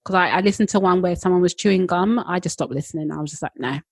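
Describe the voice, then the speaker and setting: A woman co-hosting a podcast, chatty and quick to laugh in casual conversation. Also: fairly low pitch, gravelly, husky, dry recording, clean studio sound. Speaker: podcast co-host. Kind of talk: casual chat.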